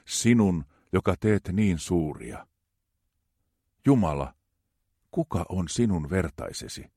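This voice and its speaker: Very deep-voiced man reading psalms. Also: big pitch swings, warm tone, husky, dry recording, studio quality. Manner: slow, measured, reverent reading. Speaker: scripture reader.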